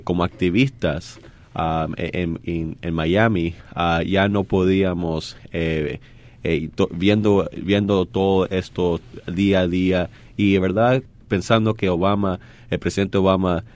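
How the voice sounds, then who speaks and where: Man, from Venezuela, very low voice, hesitant, many fillers, interview guest. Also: a little mellow, no room sound. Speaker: guest, activist.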